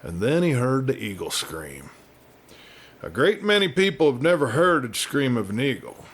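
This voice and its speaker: A man telling a story as host and narrator, in a folksy, measured manner. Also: mid-range voice, big pitch swings, a bit bright, breathy edge. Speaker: storyteller host reading a western novel. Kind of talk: folksy scripted audiobook narration.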